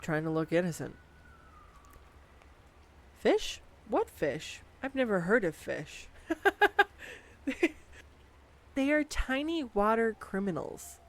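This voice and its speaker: A voice actress performing a scripted girlfriend roleplay, bubbly and playful as she gushes excitedly over facts at a home mic. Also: lowish voice. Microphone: studio condenser mic.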